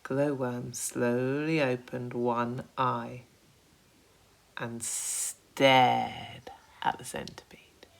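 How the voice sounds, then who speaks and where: Low-voiced, slow, expressive woman storyteller. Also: gravelly. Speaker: primary school teacher reading aloud. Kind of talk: expressive children's story narration.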